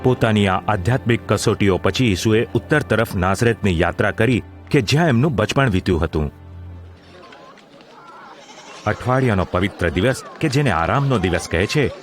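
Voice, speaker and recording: A man's voice: fairly low pitch, lively intonation, moderate pace. A man narrating a scripted audio drama in a formal, literary storytelling manner. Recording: music under the voice.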